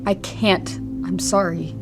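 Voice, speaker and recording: A woman's voice: fairly low pitch, fairly even intonation, slow, loud music behind the voice. An actress playing a frightened, tender mother in an audio drama, pleading and tearful. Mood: scared, apologetic. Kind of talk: audio fiction acting.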